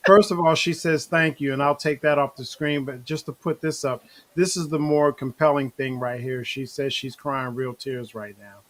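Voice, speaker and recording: A man's voice: mid-range voice, fairly even intonation, moderate pace. Casual talk-show host man, chatty. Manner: loose, restarting, addresses guests as y'all. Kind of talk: casual live-stream interview.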